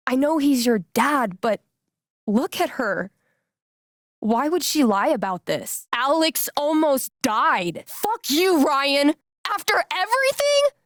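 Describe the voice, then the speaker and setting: A woman playing a cool, sarcastic, warm-hearted young indie musician in a video game, with an American accent and casual, slangy delivery. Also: on the high side, no room sound, clean studio sound. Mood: angry, indignant. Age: young adult. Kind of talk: naturalistic video game voice acting.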